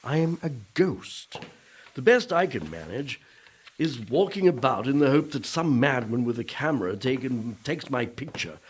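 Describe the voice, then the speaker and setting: Gloomy Puritan ghost, lively intonation. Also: male voice, medium pitch, normal speed, husky. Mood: despairing, defensive. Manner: wistful, self-pitying, old-fashioned formal speech. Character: gloomy, repentant male ghost of a Puritan pastor. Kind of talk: radio play acting.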